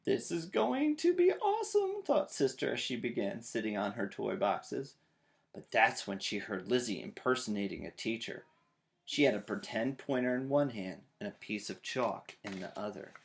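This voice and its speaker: A man's voice: lowish voice, normal speed, creaky. A man, reading aloud to children. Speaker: storytime reader. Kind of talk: animated children's read-aloud. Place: small child's bedroom indoors.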